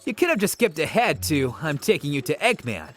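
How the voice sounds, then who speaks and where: A man's voice: fairly high pitch, sing-song, fairly quick, over music. A man voicing a confident young male hedgehog hero in a comic dub, with a breezy, teasing way of talking.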